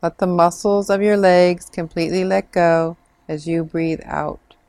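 A woman guides a meditation in a soothing, measured way. Her voice sits slightly low and moves very slowly, with long pauses. The recording is close and dry. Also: fairly even intonation, darkish tone, no room sound. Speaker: meditation guide, clinical social worker.

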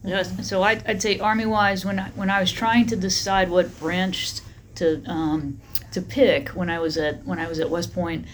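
A middle-aged woman army general answering interview questions in a matter-of-fact, steady way. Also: lowish voice, normal speed, a little hiss or hum.

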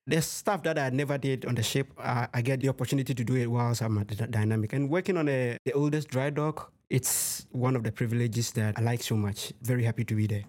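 Earnest young sailor. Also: male voice, mid-range voice, darkish tone. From Ghana. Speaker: sailor interviewee. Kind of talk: casual interview answers.

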